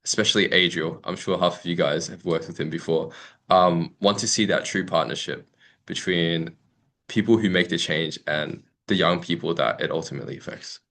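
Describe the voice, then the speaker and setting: A man's voice: low-pitched, normal speed, vocal fry, fairly bright tone. A young man giving an informal, reflective presentation as a youth committee member. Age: young adult. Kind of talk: informal presentation to an online meeting.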